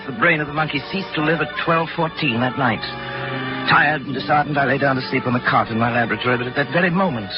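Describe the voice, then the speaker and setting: A man's voice: medium pitch, close to an everyday voice. An actor playing an obsessed, arrogant man of science in 1940s radio style, with precise, intense, dramatic diction. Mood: weary, portentous. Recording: dull 1940s radio recording with music.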